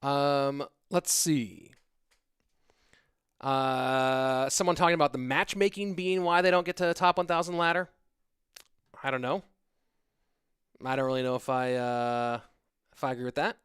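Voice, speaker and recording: A man casually casting an esports stream, reading viewer chat aloud and answering in a relaxed, rambling way. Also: medium pitch, measured pace, pauses often, clean tone, dry recording, studio quality.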